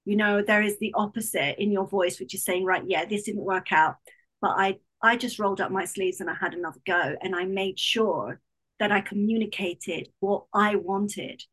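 A woman hosts a podcast, her voice at a middle pitch. She reads out an introduction and then talks in an effusive, gushing way. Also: fairly even intonation, moderate pace, slightly rough. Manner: warm and effusive, with gushing praise. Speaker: podcast host. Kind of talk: podcast interview.

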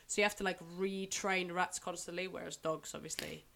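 A woman's voice: on the low side, steady tone, fairly quick, creaky, fairly bright tone. Chatty young woman, filler-heavy. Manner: chatty, full of "like" fillers and restarts. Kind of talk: casual chat.

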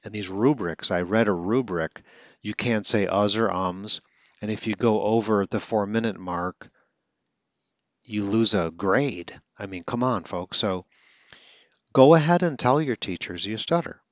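A man with a lowish voice talks as a speech coach, earnest and plain, now and then catching on a sound and restarting a word. The recording is dry and close with a dull, muffled tone. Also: unhurried, no echo. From Atlanta, Georgia. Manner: earnest, with occasional stutters and self-corrections. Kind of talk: casual talk.

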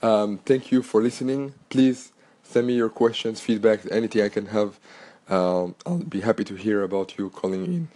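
Deep-voiced French-accented man, middle-aged, hoarse from a cold, earnest solo podcast host. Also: moderate pace, dark tone. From Belgium. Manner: earnest, repetitive and motivational, with restarts.

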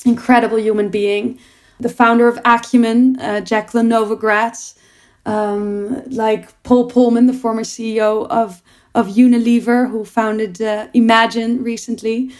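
A woman's voice: on the high side, little pitch movement, a little slow, a little mellow. A young adult woman, a producer and entrepreneur, answering interview questions in an earnest, reflective way with frequent restarts.

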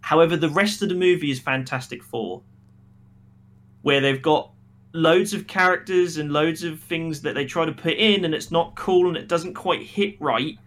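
A man's voice: fairly high pitch, normal speed, a bit bright. A man co-hosting a podcast, chatting casually about films in a blunt, emphatic and critical way. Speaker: podcast co-host reviewing films. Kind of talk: casual opinionated review chat.

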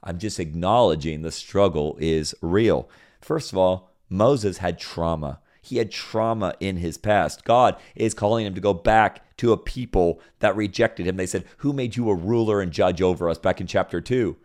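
A man from Senegal preaching a sermon, with a notably low, deep voice. He reads scripture aloud, repeats key phrases, and climbs to loud, emphatic peaks before dropping back down. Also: moderate pace.

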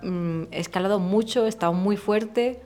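A woman in her thirties from Murcia with a softened Murcian accent, a guest talking candidly and casually in an interview, full of slang and fillers. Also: medium pitch, moderate pace, faint music behind. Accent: softened Murcian Spanish. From Murcia, Spain. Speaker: podcast guest, illustrator.

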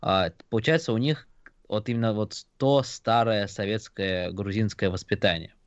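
A man's voice: on the low side, moderate pace, no room sound. A man from a Georgian family raised in Russia, chatting casually and thoughtfully, with hesitant fillers. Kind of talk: casual podcast chat. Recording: dull lo-fi sound.

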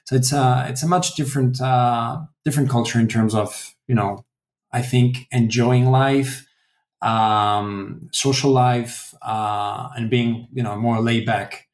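A man's voice: mid-range voice, steady tone, slow pace, echoey room. A man in his forties from France, answering interview questions casually with lots of fillers and restarts. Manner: hesitant, many fillers and restarts. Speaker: guest, translation company founder.

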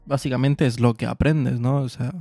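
A man's voice: mid-range voice, level tone, moderate pace, mellow, no room sound, faint music behind. A man hosting a podcast, chatty and joking as he asks his guest questions. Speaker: podcast host. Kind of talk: casual interview chat.

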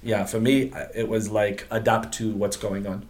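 A middle-aged man from Portugal, a guest on an interview podcast, telling his story in a relaxed, slangy way with many fillers and restarts. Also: on the low side, moderate pace. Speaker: guest, business owner. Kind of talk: casual interview chat.

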